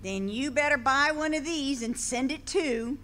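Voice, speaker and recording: A woman's voice: high voice, unhurried, sharp tone, slight background noise. A woman playing a brash sister selling greeting cards in a live staging of a 1940s radio comedy, pushy and wisecracking. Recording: live stage in a hall.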